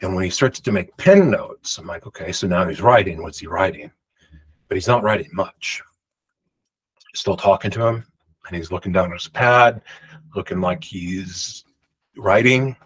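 Husky-voiced man telling a rambling story. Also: deep voice, moderate pace, long pauses, poor audio. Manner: rambling, emphatic, loud projection. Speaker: vlogger. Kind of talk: personal storytelling to camera. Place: home room with pictures on the walls. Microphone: large studio mic beside him.

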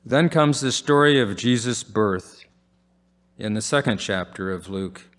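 An older man, around his seventies, preaching in a measured, formal way as he reads from a script at a church pulpit microphone. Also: fairly low pitch, expressive, fairly bright tone. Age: older, about 70s. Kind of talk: sermon read from a script, with scripture readings. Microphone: pulpit microphone on a gooseneck stand.

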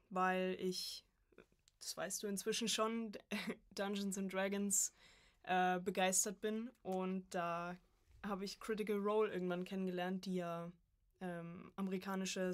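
A woman in her early twenties from Germany, talking informally as a podcast guest about herself. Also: lowish voice. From Coburg, Germany. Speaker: podcast guest, voice acting student. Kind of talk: casual chat, personal anecdotes.

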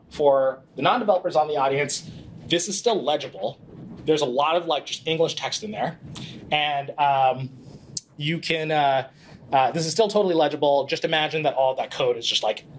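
A man in his thirties giving a live presentation in a small conference room, talking casually with many fillers and quick self-corrections, into a handheld mic picked up by a distant camera. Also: medium pitch, a little hiss or hum. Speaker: conference speaker. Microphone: handheld mic, far camera.

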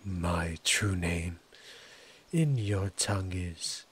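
A man voicing a proud, needy, menacing ancient forest entity in an ASMR roleplay, breathy and husky, with theatrical, formal diction. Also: sits low, extremely slow, a bit bright, a little hiss or hum, heavily acted. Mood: pleading. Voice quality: breathy, husky, often dropping toward a whisper.

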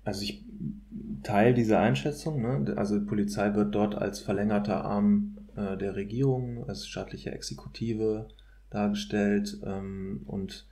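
A man's voice: lowish voice, fairly even intonation, measured pace, warm tone. A man speaking as an expert guest in an analytical discussion, hesitantly searching for his words. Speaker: guest, political scientist.

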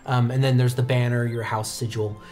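A man in his twenties improvising story narration as a game master, descriptive and halting. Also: mid-range voice, flat delivery, darkish tone, faint music behind. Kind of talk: improvised tabletop roleplay narration. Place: indoor studio set.

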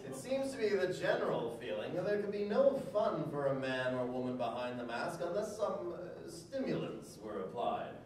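High-voiced man declaiming in an echoey room. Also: big pitch swings, moderate pace, few pauses, a little mellow, distant mic. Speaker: reader at a public event. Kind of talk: dramatic reading of old newspaper articles aloud to an audience. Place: hard-walled room with small stage. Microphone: stand mic, recorded by far camera.

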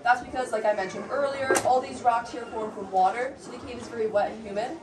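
Young woman giving a cave tour, kinda high voice, super echoey, picked up from a distance. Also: background noise. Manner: informative, measured, explains to a group. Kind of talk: guided tour explanation. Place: large cave, indoors. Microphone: far camera held by a visitor. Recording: echoey cave recorded from far off.

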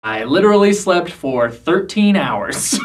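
A man's voice: a little high, sing-song, a little slow, far from the mic. A man who hikes, casually recounting his trip in a loose, conversational way. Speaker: hiker. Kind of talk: casual recollection to camera.

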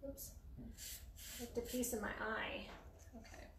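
A middle-aged woman chatting casually to a live stream while working, unhurried and trailing off as she demonstrates a craft. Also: fairly high pitch, level tone, fairly bright tone. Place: indoor craft paint shop. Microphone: phone or camera a few feet away. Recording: roomy echo, clear noise, clicks.

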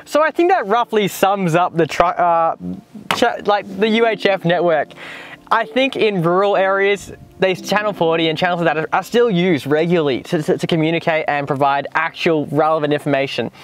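A man's voice: sits high, moderate pace. A man in his twenties with an Australian accent, talking casually and enthusiastically to camera in a vlog review. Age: 20s. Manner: enthusiastic, informal. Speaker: vlogger and presenter. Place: in a car and outdoors in the bush.

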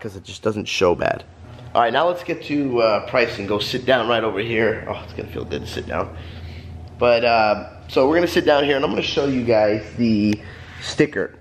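A man's voice: fairly low pitch, a little hiss or hum. A man in his thirties with a cold, chatting casually to viewers while filming himself in his home garage. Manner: rambling, chatty, addresses viewers as guys. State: stuffed up from a cold. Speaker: vlogger. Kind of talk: casual vlog talk. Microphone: handheld vlog camera.

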